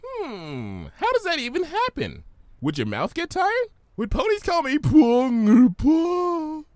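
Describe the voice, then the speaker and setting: Excitable female pony, a man acting, very high pitch, wildly animated, bubbly, chatty, playful storybook voice. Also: takes it slowly, no echo, clean studio sound, exaggerated voice.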